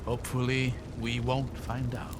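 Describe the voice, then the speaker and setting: A man's voice: medium pitch, completely flat delivery, unhurried, close to an everyday voice. A man playing a scholarly, curious companion in a video game, articulate and thoughtful, fascinated by ancient magic. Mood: cautious curiosity. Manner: thoughtful, articulate, wonder-struck explaining. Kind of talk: dramatic video game dialogue. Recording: loud background noise, game ambience.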